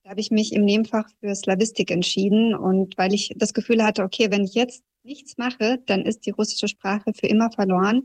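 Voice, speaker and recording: A woman in her thirties, a journalist from Kazakhstan who grew up in southwest Germany, giving a reflective, measured personal account in an interview. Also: medium pitch, steady tone, a little mellow. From Kazakhstan, raised in southwest Germany.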